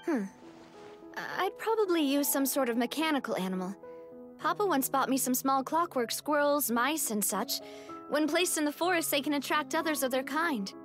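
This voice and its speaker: An actress playing a bright, well-bred young lady in a game, polite and inquisitive. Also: high-pitched, lively intonation, moderate pace, faint music behind. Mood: curious, friendly. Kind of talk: game voice acting.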